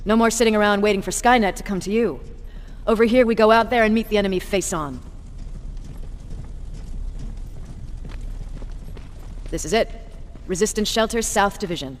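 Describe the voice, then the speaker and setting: An actress playing a tough, stern resistance commander in a video game, authoritative, curt and dryly sardonic. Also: female voice, mid-range voice, fast, slight background noise, lightly acted. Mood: brisk, commanding. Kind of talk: dramatic video game acting.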